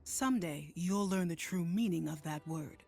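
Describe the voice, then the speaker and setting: A woman playing a wise, resolute spiritual leader in a video game, speaking in solemn, measured aphorisms over music. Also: lowish voice, creaky, darkish tone. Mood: calm, wise. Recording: game audio with music behind.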